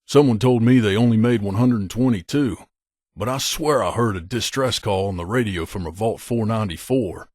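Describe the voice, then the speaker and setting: A man's voice: mid-range voice, darkish tone, no echo, studio quality, lightly acted. A man voicing a troubled, guilt-haunted wasteland companion in a video game, speaking in an earnest, plain-spoken way. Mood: wry, musing. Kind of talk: video game voice acting, dramatic dialogue.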